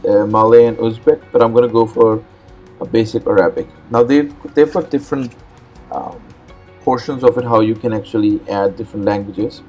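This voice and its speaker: Urdu-speaking man narrating a computer tutorial. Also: mid-range voice. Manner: relaxed, plain explaining with frequent "uh" and repeated words. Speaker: host. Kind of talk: step-by-step screen tutorial narration.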